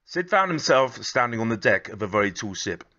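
A man in his thirties narrating a children's story expressively, with measured, gently dramatic phrasing. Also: mid-range voice, sing-song, highs cut off. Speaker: storybook reader.

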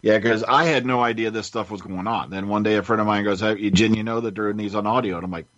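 Lowish male panelist, dry muffled sound. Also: steady tone, quick pace, fairly bright tone, dry recording. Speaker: podcast panelist. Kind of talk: casual storytelling chat. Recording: dull, muffled lo-fi audio.

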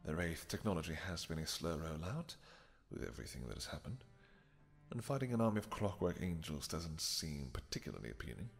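A man playing a suave male vampire king, smooth, flirtatious and dryly amused, in an audio roleplay. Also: a bass voice, big pitch swings, fairly quick, exaggerated voice. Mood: scheming. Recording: long reverb with music behind.

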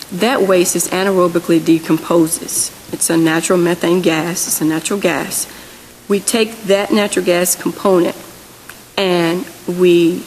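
A woman in her thirties presenting on a policy panel into a table microphone in a large hearing room, earnest and correcting herself as she goes. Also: fairly low pitch. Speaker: panel speaker. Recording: long hall reverb, faint hiss.

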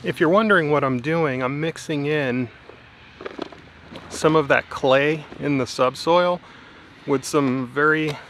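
A man's voice: fairly high pitch, slow pace, pauses often, a bit bright, a little hiss or hum. A man in his thirties talking casually to his camera outdoors in his garden, thinking aloud with mild worry. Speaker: home gardener vlogger. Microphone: camera held at arm's length, outdoors.

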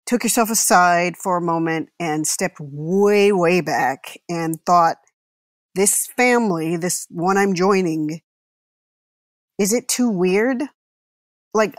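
Low-voiced, hesitant, candid woman. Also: no echo, studio quality. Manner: hesitant, musing, rambling. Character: uneasy, candid woman married into an eccentric family. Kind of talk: naturalistic comedy audio drama acting.

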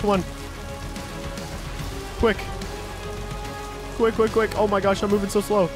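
An excitable little boy played by a man in a video game role-play, high in pitch. He exclaims in a breathless, whiny way over clearly audible music. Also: measured pace. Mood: excited alarm. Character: excitable, frightened little boy, a baby brother. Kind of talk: improvised gaming commentary in character.

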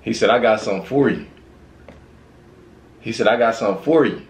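A man's voice: on the low side, fairly quick. An American man in his thirties giving casual, slangy reaction commentary, often repeating his phrases. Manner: slangy, repetitive, conversational. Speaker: reaction video host. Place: small indoor room with records on the wall. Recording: roomy echo. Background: faint music.

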